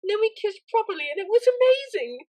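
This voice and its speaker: Woman acting as a refined young mare, high voice, polite, gushing, earnest, excitable, animated storybook character. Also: quick pace, poor audio. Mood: excited, gushing.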